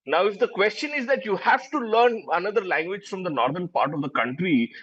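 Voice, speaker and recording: A man's voice: high voice, animated, fairly quick, fairly bright tone, husky, muffled recording. A man whose first language is Urdu, arguing emphatically as a panelist in a heated TV debate and repeating words as he presses his point.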